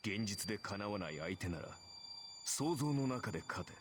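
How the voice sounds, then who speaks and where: An actor playing a stern warrior spirit in a visual novel game, giving terse, commanding counsel. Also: male voice, fairly low pitch, animated, fast talker, natural voice. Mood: cold, commanding. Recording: game clip with background noise.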